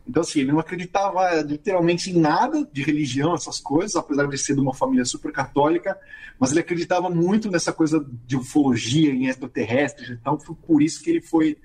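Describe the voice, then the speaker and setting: A man talking as a guest about music history, telling the story in a relaxed way and often adding short tag questions. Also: a little high, quick pace, slightly rough. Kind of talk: conversational storytelling.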